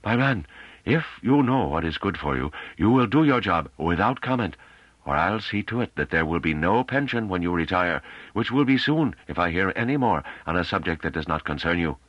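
A man's voice: low-pitched, animated, moderate pace, no echo, voice pushed up. A man voicing a small, irritable, haughty customs chief who scolds imperiously in an audiobook, on a dull recording. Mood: irritated, threatening.

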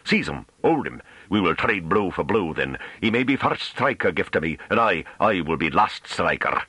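A man's voice: on the low side, very animated, moderate pace, a bit bright, breathy edge, no room sound, voice pushed up, exaggerated voice. A man voicing a big, mocking, belligerent farmer in a thick rustic dialect with rolled Rs and archaic phrasing, for an audiobook. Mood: angry. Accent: thick rustic invented dialect with rolled Rs.

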